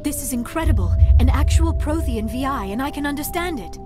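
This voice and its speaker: Quick, high-voiced space scholar. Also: female voice, animated, music in the background. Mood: awed. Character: keen scholarly female crew member in space. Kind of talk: scripted science fiction game dialogue.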